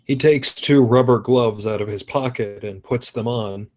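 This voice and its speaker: A man's voice: mid-range voice, moderate pace, darkish tone. A man reading out stage directions as narrator at a script table read.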